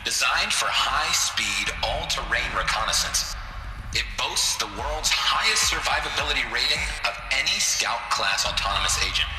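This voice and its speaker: Bright, echoing corporate weapons announcer. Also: male voice, mid-range voice. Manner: salesman-like, polished. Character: slick corporate promotional announcer for military robots. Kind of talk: scripted advertising narration. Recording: echoey long reverb, distant, music behind.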